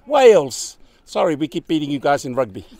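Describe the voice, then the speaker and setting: A man's voice: on the high side, sing-song, fairly quick, darkish tone. A man, chatting upbeat with strangers at an outdoor lookout, filming himself. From New Zealand. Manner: upbeat, chatty, laughs often. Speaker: travel vlogger. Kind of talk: casual chat with passers-by. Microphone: camera at arm's length.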